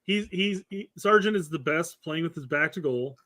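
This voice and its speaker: A man's voice: fairly high pitch, expressive, normal speed, pushed and bright, no echo, studio quality. A man co-hosting a casual soccer talk show, often stumbling and repeating words as he restarts. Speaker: co-host, former striker.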